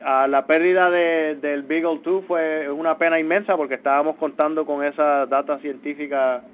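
Brief: Puerto Rican man, interview guest, halting and full of restarts, heard over a thin phone line. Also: a little high, fairly even intonation. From Puerto Rico. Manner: halting, many restarts and fillers. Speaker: space agency mission coordinator, interview guest.

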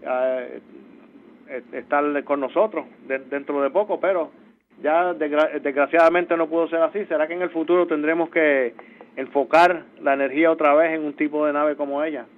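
A Puerto Rican man, a space agency mission coordinator, explaining things in a halting way with many restarts. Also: a little high, fairly even intonation. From Puerto Rico. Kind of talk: phone interview. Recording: tinny phone line.

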